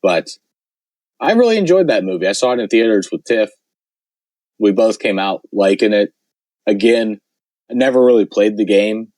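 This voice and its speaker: A man co-hosting a podcast in casual chat, rambling with many fillers, his voice worn and hoarse. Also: lowish voice, animated, moderate pace, long pauses, darkish tone, no room sound. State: losing his voice. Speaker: podcast host.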